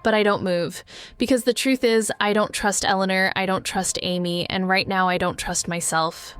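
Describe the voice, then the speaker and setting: A woman's voice: medium pitch, fairly even intonation, moderate pace, fairly bright tone, clear, resonant tone, soft background music, lightly acted. Actress doing a bitter paranoid lady sleuth, wry noir podcast drama narration, brooding and sweary. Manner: sardonic, brooding, profane. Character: wry, bitter, paranoid woman amateur sleuth watching surveillance screens. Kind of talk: first-person noir audio drama narration.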